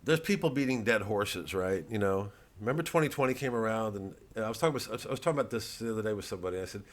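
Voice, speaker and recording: Low-voiced man rambling haltingly to his audience. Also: lively intonation. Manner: halting, digressive, self-deprecating. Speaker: comedian. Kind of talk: rambling casual monologue to an online audience.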